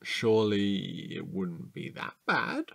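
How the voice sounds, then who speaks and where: A man acting a chatty, curious night-watch guard in a naturalistic audio drama, husky and halting in a playful way. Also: sits low, very animated, a bit bright, no room sound, clean studio sound, full character voice. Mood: musing, conspiratorial.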